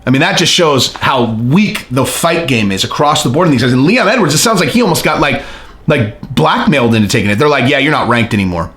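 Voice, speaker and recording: A man with an American accent hosting a casual fight-commentary rant, emphatic and animated, repeating his points and swearing. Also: medium pitch, brisk. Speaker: MMA commentary channel host.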